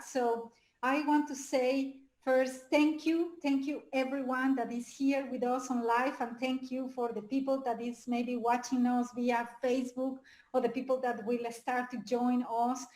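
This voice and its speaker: A woman from Mexico with a Mexican Spanish accent, a life coach giving a lively live webinar talk to an audience, often asking them questions. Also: sits high, reverberant, low-quality recording. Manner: energetic, asks the audience questions, nonnative phrasing.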